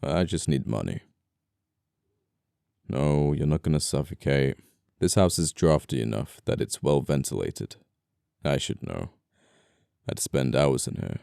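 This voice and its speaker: Casting: male actor for scoundrel murderer in ASMR roleplay, very deep and smooth, politely menacing, apologetic, flirtatious, quaint wording. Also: dry recording, studio quality, exaggerated voice. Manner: soft-spoken politeness, condescending, old-fashioned phrasing. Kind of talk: intimate ASMR audio roleplay acting.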